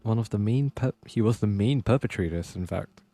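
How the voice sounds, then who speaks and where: A streamer giving casual, low-key muttered reactions during a game playthrough. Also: fairly quick, warm tone. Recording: game music behind voice.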